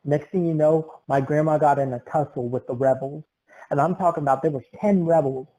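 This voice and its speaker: Young male podcast host, tall-tale storyteller, a bit high, mellow tone. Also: steady tone, a little fast, poor audio. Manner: exaggerated tall-tale telling. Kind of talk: comic storytelling.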